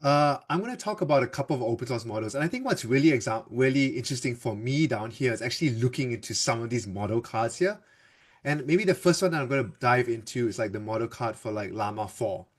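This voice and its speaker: A man from Singapore talks through a tech webinar in a relaxed, chatty way. He often restarts a sentence and fills pauses with "uh" and "like" while he explains his slides. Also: medium pitch. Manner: loose and conversational, with frequent fillers and restarts. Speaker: developer advocate presenter. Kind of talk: casual tech presentation.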